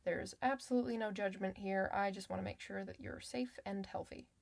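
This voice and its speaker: A woman playing a kind clinician in an ASMR medical roleplay, soft-spoken, reassuring and chatty with the patient. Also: mid-range voice, fairly even intonation, a little fast, gravelly, natural voice. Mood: calm, reassuring.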